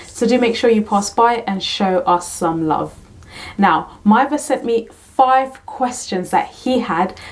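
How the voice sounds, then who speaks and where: A woman's voice: medium pitch, a little mellow. A young woman from Kenya, a teacher, casually answering questions to camera, repeating "really, really" and "to be honest" often. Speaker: teacher and YouTube tutor.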